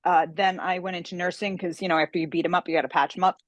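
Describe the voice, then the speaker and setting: A woman's voice: lowish voice, steady tone, quick pace, no room sound, lo-fi sound. A woman guest talking about her work as a fantasy author, chatty and enthusiastic, on a video call. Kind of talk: casual interview conversation.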